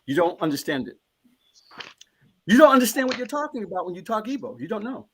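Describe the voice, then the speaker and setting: A man's voice: high-pitched, big pitch swings, quick pace, a little hiss or hum. A man speaking as a panelist in a live discussion, earnest and emphatic, often repeating a phrase as he builds toward his point.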